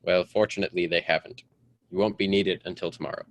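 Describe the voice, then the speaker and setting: A man playing a stuffy, domineering politician husband in a radio melodrama, with a brisk, formal, patronizing manner. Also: sits low, fairly even intonation. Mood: stiff, reproachful. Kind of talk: old-time radio drama acting.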